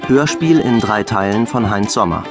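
A man's voice: fairly low pitch, moderate pace. A man reading scripted radio drama credits as an announcer. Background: loud string music.

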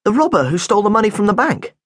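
A man voicing a flustered schoolboy who defends himself earnestly and rambles on, with a husky voice. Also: high voice, sing-song, very fast, fairly bright tone, dry recording, clean studio sound, voice pushed up, exaggerated voice. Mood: defensive. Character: flustered schoolboy protesting his innocence.

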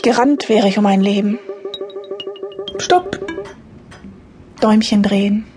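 A woman in her thirties reads her own life story as a scripted audiobook, matter-of-fact and in deliberate short phrases. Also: medium pitch, expressive, mellow. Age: 30s. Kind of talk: scripted autobiographical audiobook narration. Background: music.

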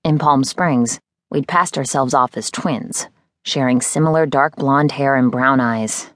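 A woman narrates a novel in the first person. Her voice sits low for a woman, and the sound is dry, with next to no room around it. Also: a little slow, fairly bright tone, dry recording. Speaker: audiobook narrator.

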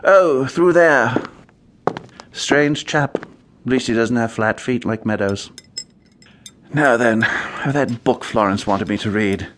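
A man playing a foppish, idle young English gentleman in a radio comedy, with a posh accent and a breezy, chatty, easily flustered manner full of period slang. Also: mid-range voice, takes it slowly, long pauses, slight background noise. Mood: groggy, muddled. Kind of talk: radio comedy acting with first-person narration.

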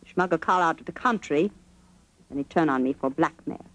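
An actress playing a proud, troubled young Viennese concert pianist with a German accent, formal and earnest in stilted English, in 1940s radio drama. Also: female voice, deep voice, fairly quick, a bit bright, low-quality recording. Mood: distressed. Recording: vintage 1940s radio broadcast recording.

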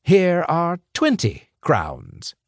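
A smooth crime boss , as a man puts him on drawls out each word slowly and persuasively. His pitch swings widely up and down as he talks. The sound is close and dry, with no room around it. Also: huge pitch swings, no echo, full character voice. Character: smooth, scheming older male crime boss.

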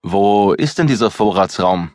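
A man's voice: lowish voice, expressive, measured pace, darkish tone, no echo, clean studio sound. A man reading a cheerful private detective. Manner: upbeat, curious. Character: tall fit male private detective, cheerful.

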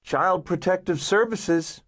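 An audiobook narrator voicing a gentle, coaxing woman social worker who is calmly persistent. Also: mid-range voice, moderate pace, voice pushed up. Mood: soft, coaxing.

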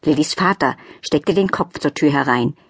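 A woman's voice: low-pitched, moderate pace, breathy edge, dry recording, clean studio sound. A woman reading a children's story as a scripted audiobook narration.

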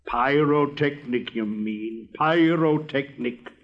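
A man's voice: on the high side, brisk, highs cut off, natural voice. Man as an insistent, self-important Bengal light. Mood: insistent, correcting. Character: Bengal light firework, insistent bully eager to seem important.

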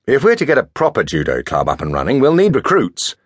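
A man's voice: medium pitch, wildly swooping pitch, a little fast, no echo, studio quality, voice pushed up. A man voicing an earnest, eager teenage schoolboy in a storybook reading.

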